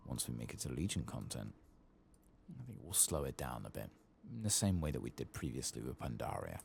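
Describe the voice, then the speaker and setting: A soft-spoken man giving unhurried ASMR gameplay commentary, murmuring to himself and sometimes dropping toward a whisper, close to the microphone. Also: rumbling low, very animated, gravelly, a little hiss or hum. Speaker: gaming streamer.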